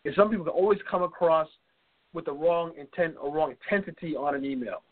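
A man's voice: on the high side, fairly quick. A man co-hosting a casual advice talk, chatty and checking in with "okay?" as he goes. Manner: conversational, tags lines with "okay?" and "you know". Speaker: co-host. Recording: phone-like narrow audio.

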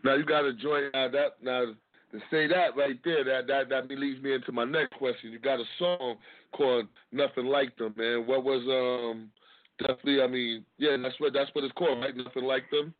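A man's voice: mid-range voice, steady tone, moderate pace, phone-quality sound. A man from Harlem hosting an interview, laid-back and affirming, speaking in street slang. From Harlem, New York. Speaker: interviewer host. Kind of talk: casual interview chat.

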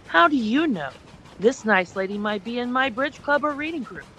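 A woman acts a prickly wife in a radio drama, needling and sarcastic. Her pitch swings widely as she argues. There is clear noise behind the voice. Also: lively intonation, normal speed, fairly bright tone. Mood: irritated, sarcastic. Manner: argumentative, mocking.

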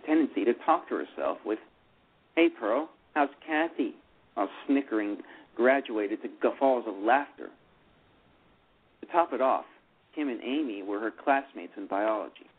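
A man reads a novel aloud as narrator, at a steady pace and often catching himself to correct a word. His voice is husky. The audio is narrow and tinny, like a phone call. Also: medium pitch. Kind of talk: reading a novel aloud on radio. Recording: tinny phone-line radio.

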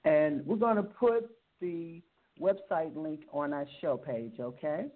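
A woman's voice: sits low, takes it slowly. Upbeat woman host with a phone-like sound. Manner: upbeat, encouraging, repeats words. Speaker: radio show host. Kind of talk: radio interview.

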